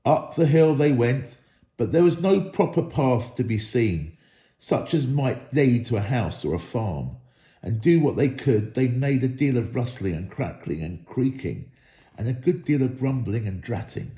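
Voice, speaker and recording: A man reading a storybook aloud as an expressive narrator. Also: mid-range voice, measured pace, echoey room. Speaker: storybook reader.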